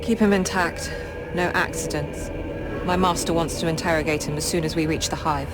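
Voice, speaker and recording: An actress playing a cold, menacing interrogator in a science-fiction game, curt and commanding in manner. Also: female voice, low-pitched, fairly even intonation, normal speed, over loud music, natural voice. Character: cold, menacing woman interrogator serving a master.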